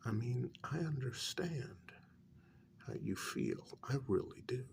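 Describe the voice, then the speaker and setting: A performer softly playing a patient, probing official in an ASMR role-play, speaking in a measured, gently reproachful half-whisper. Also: medium pitch, animated, slight background noise. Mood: measured, sympathetic. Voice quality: soft, breathy half-whisper. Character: patient, probing official questioning a renegade vampire.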